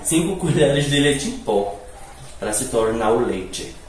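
A man's voice: medium pitch, animated, measured pace. A young man from northeastern Brazil with a Recife-like accent and hissing s sounds, chatting playfully while cooking in his home kitchen. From Natal, northeastern Brazil. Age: young adult. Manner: chatty, playful, breaks into giggles. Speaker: vlogger. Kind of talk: casual cooking vlog chat. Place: tiled home kitchen, indoors. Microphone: camera several feet away. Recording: echoey tiled kitchen, distant mic.

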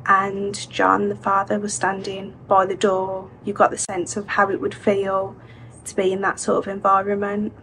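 A woman's voice: medium pitch, completely flat delivery, moderate pace, a bit bright, rough recording. A woman recounting her experience in a testimonial. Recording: noticeable ambient background noise.

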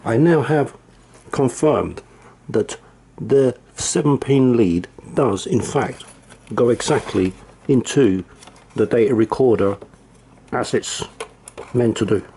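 A man's voice: mid-range voice, takes it slowly, pauses often, warm tone, slight background noise. A man casually demonstrating old computer gear, thinking aloud in a rambling, self-deprecating way. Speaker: retro computer hobbyist. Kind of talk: casual hands-on demo.

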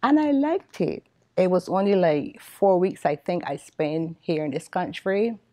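A woman's voice: on the low side, lively intonation, measured pace, dark tone, no echo. An older woman from Barbados, earnestly recalling how she joined her church, in an interview in a church sanctuary. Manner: earnest, heartfelt. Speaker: church member.

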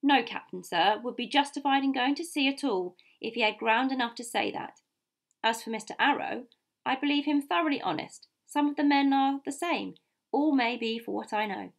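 A woman voicing a gruff, blunt, stern male ship's captain in an audiobook, with curt, formal seaman's speech. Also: high voice, moderate pace. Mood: stiff, resolute. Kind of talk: audiobook character voice.

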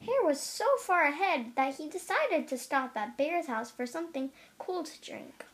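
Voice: sits high, lively intonation, moderate pace, fairly bright tone. A young reader reading a children's picture book aloud, carefully, with the occasional stumble. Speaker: storybook reader.

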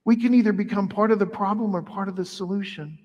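A middle-aged man, a priest, giving a conversational, animated homily at the altar of a large church. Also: high-pitched, quick pace, highs cut off. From Ireland heritage. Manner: animated, conversational preaching with wide arm gestures. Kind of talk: sermon. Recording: long church reverb.

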